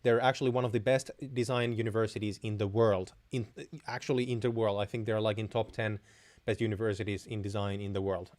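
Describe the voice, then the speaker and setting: A man's voice: fairly low pitch, darkish tone. A young man from Finland with a Finnish accent, a native Finnish speaker hosting a live Q&A stream, giving blunt, direct advice with many restarts and small chuckles. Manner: chuckles between answers. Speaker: host.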